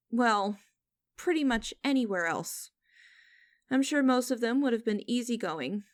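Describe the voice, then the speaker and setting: A woman playing a stern, mocking young company boss in a scripted audio roleplay, speaking in a formal, deliberate and condescending way. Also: a little high, dry recording, studio quality.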